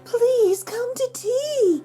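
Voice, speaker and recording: A woman's voice: extremely high pitch, very slow, dark tone, faint music behind, voice pushed up, heavily acted. A woman voicing a lonely, sweet female spider hostess in a plaintive, imploring way for a children's storybook. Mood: pleading.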